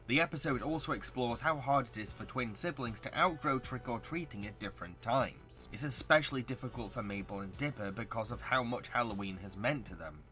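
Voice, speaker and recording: A man's voice: medium pitch, a little fast, hardly any pauses, bright, forceful tone, soft background music. A man reading scripted video essay narration as the host.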